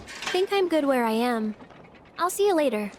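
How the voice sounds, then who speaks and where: A woman's voice: high-pitched, big pitch swings, moderate pace, darkish tone, slight background noise. An actress playing a candid, sensitive young woman in a video game, speaking conversationally. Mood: hurt, candid. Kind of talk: video game character acting.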